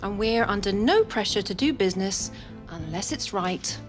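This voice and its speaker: A woman voices a club official in a game cutscene, with a mid-range pitch. She is firm and businesslike, and refuses to budge. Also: lively intonation, normal speed, over music, natural voice.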